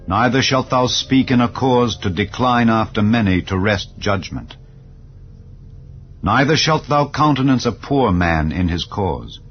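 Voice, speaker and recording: A man narrating scripture in a solemn, measured, declarative manner, as in a dramatized Bible reading. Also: medium pitch, dull audio. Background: clear background music.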